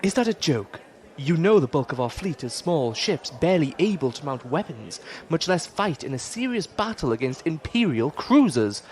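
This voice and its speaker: An actor playing a proud, skeptical pirate admiral who talks formally and deliberately, in an audio drama. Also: male voice, fairly high pitch, very animated, a little mellow. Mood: indignant.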